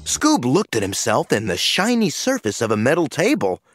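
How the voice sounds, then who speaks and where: A man narrating an audiobook in the first person with lively storytelling. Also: medium pitch, faint music behind. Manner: lively storytelling, slow and animated.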